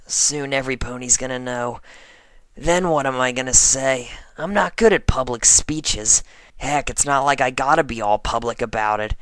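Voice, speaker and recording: A man voicing a brash young female pegasus pony, proud but secretly soft, grumbling slangily in a fan fiction reading. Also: mid-range voice, voice pushed up, lightly acted. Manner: slangy, exasperated grumbling to herself. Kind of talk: first-person dramatic fan fiction reading. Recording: dry close voice over background music.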